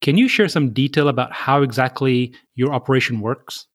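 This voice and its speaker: Friendly podcast host from London. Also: male voice, mid-range voice, normal speed. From Southeast London. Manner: friendly and conversational, reads the introduction. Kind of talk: podcast interview.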